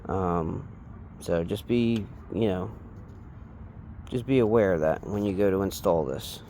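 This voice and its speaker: A man giving a casual, unscripted hands-on tutorial, speaking haltingly and trailing off as he works with his hands. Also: low-pitched, warm tone, a little hiss or hum. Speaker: DIY installation demonstrator.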